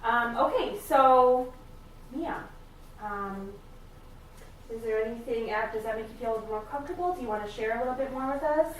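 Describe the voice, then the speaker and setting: A woman playing a supportive family therapist in a role-play, speaking in a hesitant, gently validating way. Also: fairly high pitch, moderate pace, natural voice. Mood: calm, supportive. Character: supportive, attentive female family therapist leading a session. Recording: echoey room, distant mic, faint noise.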